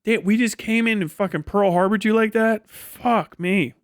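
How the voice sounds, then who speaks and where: A man's voice: sits high, normal speed, dry recording, studio quality. A man giving off-the-cuff political commentary as a live streamer, talking in a blunt, profane, sardonic and dismissive way.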